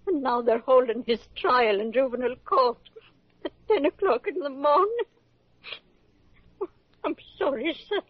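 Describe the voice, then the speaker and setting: An old, humble cleaning lady in a classic radio play. She speaks with an apologetic, pleading manner and a husky voice. The recording is dull and dry, like vintage broadcast audio. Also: high voice, a little slow, pauses often, dry recording. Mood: distressed, tearful. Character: anxious, humble grandmother working as a night cleaner. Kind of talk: 1940s-50s radio drama acting.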